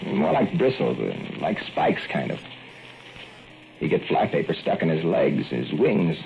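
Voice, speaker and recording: An actor playing an excitable rural young man who raises a giant fly, in a folksy drawl that swings from hollering to hushed murmurs, in a 1940s radio play. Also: rumbling low, unhurried, vocal fry, exaggerated voice. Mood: earnest. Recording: muffled old radio broadcast, faint music.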